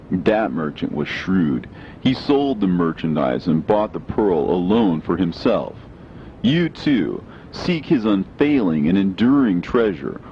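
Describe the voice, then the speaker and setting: Male reader, low voice, lively rising and falling intonation, solemn scripture narration, declamatory, muffled recording, faint rumble.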